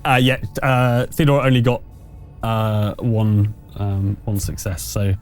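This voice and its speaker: A man running a tabletop game as game master, narrating off the cuff in a hesitant, halting way. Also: lowish voice, level tone, a little mellow. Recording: music behind the voice.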